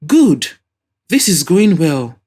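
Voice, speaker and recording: A woman playing a calm, supportive boyfriend. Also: mid-range voice, slow pace, husky, full character voice. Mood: reassuring.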